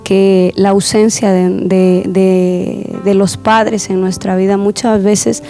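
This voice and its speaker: Mellow-voiced woman hosting a church program. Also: medium pitch, flat delivery, takes it slowly, soft background music. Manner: warm pastoral, repeats words, many affirming murmurs.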